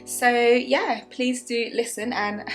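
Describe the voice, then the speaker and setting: A woman's voice: fairly high pitch, steady tone, takes it slowly, music in the background. A woman of about thirty chatting earnestly to camera as a vlogger, with many restarts and fillers. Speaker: wellness vlogger. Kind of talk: casual talk to camera.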